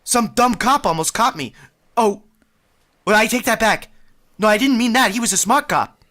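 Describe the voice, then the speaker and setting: A woman's voice: a little high, lively intonation, normal speed, a bit bright. A woman voicing an awkward, lovestruck young man in a vintage-style radio play, earnest and rambling. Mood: indignant. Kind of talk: 1940s radio acting.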